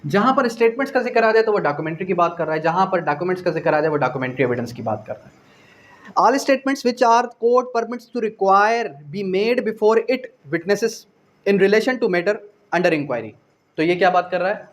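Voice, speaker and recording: A man with a Pakistani accent giving a didactic law lecture, repeating phrases, asking rhetorical questions and mixing in English legal terms. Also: high voice. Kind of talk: exam-prep lecture, explaining point by point.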